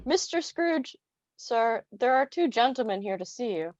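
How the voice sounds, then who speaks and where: A woman's voice: a little high, expressive, a little slow, darkish tone, muffled recording, a little hiss or hum. A young woman playing a timid, polite male clerk in a school radio play. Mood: timid, deferential. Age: teenager or young adult. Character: timid, polite clerk Bob Cratchit, a man.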